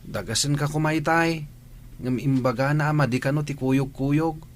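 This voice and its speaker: A man's voice: a little high, moderate pace, natural voice. A man playing a casual, teasing young schoolmate in a radio drama. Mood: chatty teasing.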